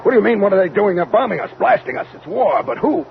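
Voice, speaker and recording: Impatient army major in a spaceship, old radio play, shouty demanding, pitch jumps all over, dull noisy recording. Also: male voice, lively intonation, fast talker, creaky. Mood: agitated, demanding. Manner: barking, demanding, agitated. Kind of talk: old-time radio drama acting.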